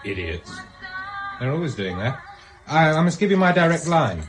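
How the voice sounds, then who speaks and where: An actor playing a wry, offhand banker at home in a radio drama. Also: male voice, fairly high pitch, big pitch swings, normal speed, over music.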